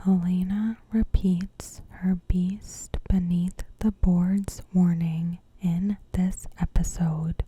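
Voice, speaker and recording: A woman narrating a recap in ASMR style, in a hushed, breathy whisper with measured delivery. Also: on the low side, poor audio. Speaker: ASMR video host.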